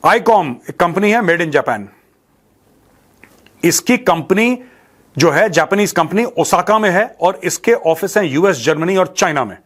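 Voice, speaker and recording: An Indian man with a slightly high voice gives informal news commentary. He is blunt and mocking, talking colloquially to his viewers and slipping into Indian-accented English. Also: animated, a little slow. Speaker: commentator and show host.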